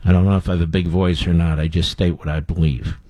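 A man's voice: sits low, little pitch movement, fast talker, mellow, no echo. A man hosting a talk radio show, giving blunt, scornful commentary with frequent fillers.

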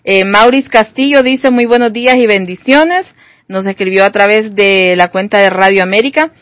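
Woman, Honduran Spanish accent, radio co-host, reads listener messages, friendly, mid pitch. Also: normal speed, fairly bright tone, no room sound. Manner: friendly, reads greetings and questions. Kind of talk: reading listener messages on air.

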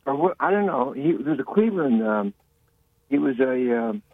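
A man calling in for a casual chat, speaking in a halting, unsure way. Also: mid-range voice, sing-song, vocal fry, husky, no echo. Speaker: caller. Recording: tinny phone line.

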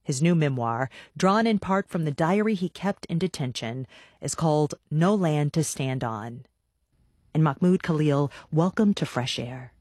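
Measured female radio interviewer, dry sound. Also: deep voice, lively intonation, darkish tone, slightly rough, no echo. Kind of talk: scripted introduction and interview questions.

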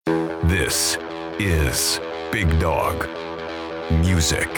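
Very deep slow station-ID man. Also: loud music behind the voice. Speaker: radio station imaging voice.

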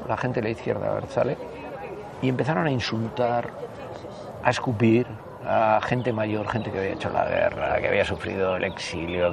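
A man in his sixties with a northern Spanish accent, reminiscing conversationally in an interview, haltingly and with repeated words. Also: on the low side, creaky, noisy background. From Basque Country, Spain. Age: 60s.

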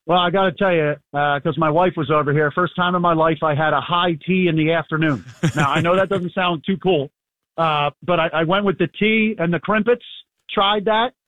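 Hoarse male phone guest, high-ish voice, phone-line quality, loose rambling delivery. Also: moderate pace, no echo. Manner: rambling, easygoing, many fillers. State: hoarse, losing his voice. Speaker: sports reporter calling in. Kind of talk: casual radio phone interview. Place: abroad, away from the studio. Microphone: phone call.

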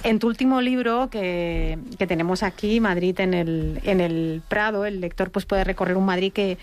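A woman host from Barcelona asking questions in a relaxed interview, often restarting and repeating words as she frames them. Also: mid-range voice, normal speed. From Barcelona, Spain. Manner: hesitant, repeats words while framing questions. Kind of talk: casual radio interview.

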